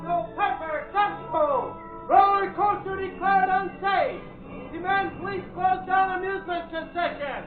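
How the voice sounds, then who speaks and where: An actor playing a street newsboy hawking papers in an old radio drama. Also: male voice, very high voice, measured pace, long pauses. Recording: tinny old radio recording, music behind.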